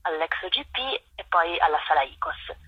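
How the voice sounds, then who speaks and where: A woman's voice: deep voice, steady tone, moderate pace, breathy edge, no room sound, phone-quality sound. A woman theatre director answering interview questions thoughtfully, often restarting her sentences.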